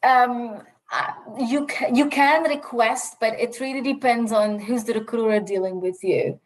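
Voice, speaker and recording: A woman recruiter answering questions on a video call, explaining slowly and carefully, with many fillers and restarts. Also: a little high, fairly even intonation, rough recording. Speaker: healthcare recruiter presenter. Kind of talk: answering audience questions in a live webinar.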